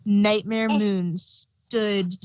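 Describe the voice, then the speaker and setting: A woman's voice: medium pitch, fairly even intonation, extremely slow, a little mellow, dry recording. A woman reading fan fiction aloud as an amateur storyteller and narrator.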